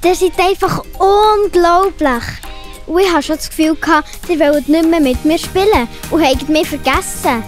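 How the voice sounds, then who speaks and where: A high, girlish voice performed by a woman as a sensitive, plucky little girl in a children's audio play. She speaks with a Swiss accent. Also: normal speed, faint music behind. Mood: delighted.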